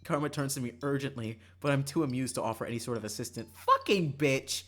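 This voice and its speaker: Livestreamer narrating a visual novel, expressive and sweary, mid-range pitch, music underneath. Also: quick pace. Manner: expressive, breaks into exasperated profane asides. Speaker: streamer. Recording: music behind the voice. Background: game music.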